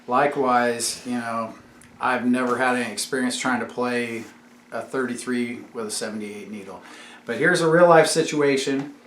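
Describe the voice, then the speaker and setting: A man's voice: mid-range voice, normal speed, a bit bright. Guy doing a casual explainer vlog at home, teacherly, roomy echo, bit of background noise. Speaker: YouTube channel host and father. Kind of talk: casual explanatory vlog, chatting with his children. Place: room at home. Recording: echoey room with faint background noise.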